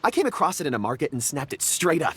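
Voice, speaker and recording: A man's voice: fairly high pitch, sing-song, fast, a bit bright, husky, exaggerated voice. A man voicing a genial, absent-minded young rock enthusiast in a video game, polite and eloquent. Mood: admiring, eloquent. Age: young adult. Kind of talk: video game dialogue acting.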